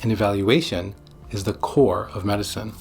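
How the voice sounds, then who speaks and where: A man giving scripted educational narration as a psychiatrist, speaking in short, deliberate, emphatic phrases. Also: fairly low pitch, darkish tone, soft background music. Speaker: psychiatrist presenting an explainer video.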